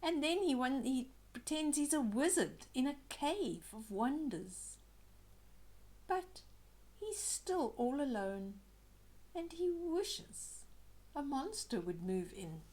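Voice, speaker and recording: An older woman reading a children's picture book aloud expressively, pausing to chat to young listeners about the pictures. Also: high-pitched, sing-song, a little mellow.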